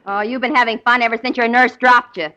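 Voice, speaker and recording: A woman playing a grumbling, sarcastic wisecracker with a deadpan manner in a 1940s radio comedy. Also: on the high side, fast, highs cut off, natural voice. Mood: sarcastic, grumpy. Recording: very noisy 1940 radio broadcast.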